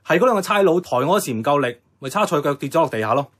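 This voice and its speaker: A man's voice: fairly high pitch, animated, rapid-fire, a bit bright. A man acting an idealistic, stubborn young activist with a Hong Kong Cantonese accent, earnest and persuasive. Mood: earnest, insistent. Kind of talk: radio drama acting.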